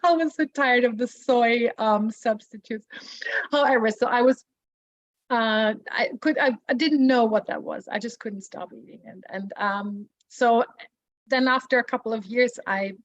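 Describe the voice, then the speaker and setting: A woman's voice: a little high, unhurried. A woman, sharing her personal story hesitantly, with many restarts. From Austria. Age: 40s. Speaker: support group member. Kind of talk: personal testimony. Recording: muffled lo-fi audio.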